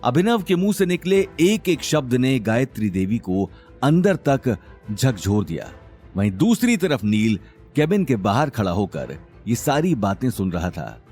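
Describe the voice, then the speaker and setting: A man's voice: medium pitch, sing-song, a little slow, a little mellow, husky, faint music behind. A man narrating a scripted audio drama in a dramatic storytelling style.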